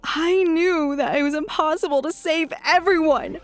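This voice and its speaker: Coniglio, a woman playing a very high-voiced anxious healer. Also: steady tone, normal speed. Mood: worried. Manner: urgent, strained pleading. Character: anxious young woman healer, desperate but determined. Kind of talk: fan audio drama acting.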